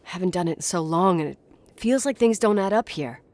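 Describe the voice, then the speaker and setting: A woman's voice: lowish voice, expressive, brisk, darkish tone, lightly acted. An actress playing a stubborn, inquisitive young woman in naturalistic game dialogue, suspicious and uneasy, probing earnestly with some hesitation. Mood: determined, suspicious.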